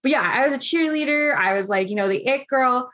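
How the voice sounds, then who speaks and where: A woman in her late twenties hosts a casual interview over a video call. She talks in a slightly high voice, chatty and animated. She keeps acting out the lines of people in her stories. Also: fairly quick. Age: 20s.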